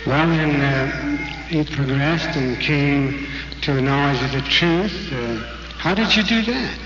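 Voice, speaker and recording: A man's voice: fairly high pitch, slow pace. Man interviewing on stage in an echoey hall. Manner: friendly, prompting questions. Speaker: interviewer at a convention. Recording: dull, hissy recording in an echoey hall.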